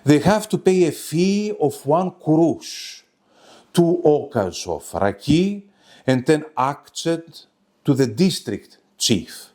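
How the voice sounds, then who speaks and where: A man giving an academic lecture in a formal, careful and halting manner. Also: a little high, a little mellow. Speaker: lecturer presenting a web seminar.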